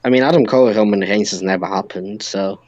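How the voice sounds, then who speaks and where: A man with a fairly low voice talks casually as a podcast co-host. The sound is slightly muffled, with some room echo around him. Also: fast talker, a little mellow. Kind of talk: casual wrestling podcast chat.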